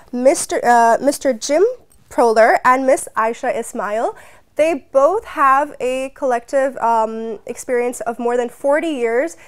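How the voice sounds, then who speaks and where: A woman hosting a talk show and interviewing guests in an effusive, eager manner. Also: sits high.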